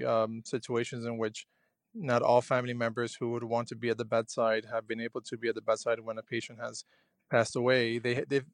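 Soft-spoken young man, halting and earnest. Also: medium pitch, creaky, dry recording, studio quality. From Cuba. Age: young adult. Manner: hesitant and earnest, many fillers and restarts. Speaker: junior physician. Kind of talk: personal radio diary.

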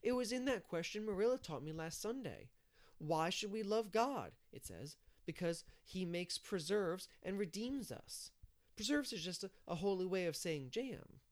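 A man's voice: high-pitched, lively intonation, normal speed, voice pushed up. A man voicing a naughty, earnest little boy in a storybook, with childish, pleading insistence. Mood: cheeky, insistent.

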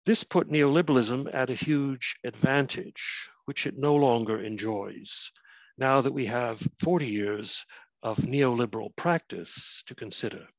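A Canadian man in his fifties, an author giving a prepared remote lecture, measured and full of uh and um fillers. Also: mid-range voice, no echo, rough recording. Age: 50s. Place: his flat, remote talk.

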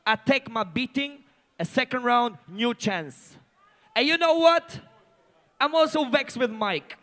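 A man's voice: very high-pitched, expressive, a little slow. A man from Poland working as a soundclash MC, talking in a defiant, boastful, sweary way with Jamaican patois phrases over a live sound system. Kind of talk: combative soundclash stage talk. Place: soundclash venue, on stage. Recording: loud live sound system, music behind.

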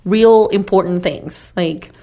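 A woman's voice: medium pitch, slow, warm tone. A woman co-hosting a casual chat, giving frank, emphatic dating advice and laughing often. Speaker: podcast co-host.

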